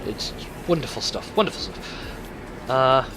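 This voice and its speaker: A man's voice: medium pitch, animated, unhurried, noisy background. A man hosting a gaming video, talking casually and thinking aloud in a conversational let's play commentary.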